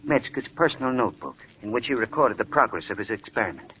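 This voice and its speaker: An actor playing a worried, earnest hospital doctor in 1940s radio drama, speaking with dramatic urgency. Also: male voice, mid-range voice, sing-song, fast, bright, forceful tone. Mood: grave, secretive. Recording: muffled, faintly noisy old radio recording.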